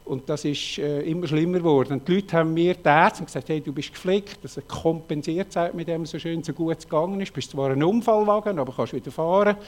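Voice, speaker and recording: A man's voice: fairly high pitch, darkish tone. Earnest Swiss German man in his late fifties. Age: 50s. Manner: earnest, frank, halting with many fillers. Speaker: speaker giving a personal testimony. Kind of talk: personal testimony talk with slides.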